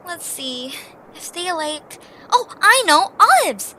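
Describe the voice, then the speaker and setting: A woman's voice: very high-pitched, very animated, slow, sharp tone, slight background noise, exaggerated voice, rough recording. A woman playing a plucky, slightly sarcastic young adventurer in a fan audio drama. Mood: puzzled, determined. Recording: music clearly behind.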